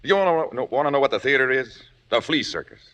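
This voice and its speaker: A man's voice: mid-range voice, expressive, fast, a bit bright, lo-fi sound, natural voice. An American man playing a brash, opinionated young theater director in old radio drama style, emphatic and wry. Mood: fiery, lecturing. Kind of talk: 1950s radio drama acting.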